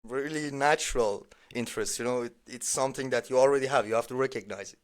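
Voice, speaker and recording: A man from Iran speaking English, a podcast guest in casual conversation, talking in animated bursts, swearing often and acting out what others said. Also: mid-range voice, fast talker.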